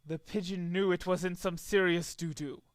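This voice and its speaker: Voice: medium pitch, fairly even intonation, moderate pace, no echo, studio quality. An amateur reader narrating a fan fiction story as a dramatic reading, with occasional stumbles over the text.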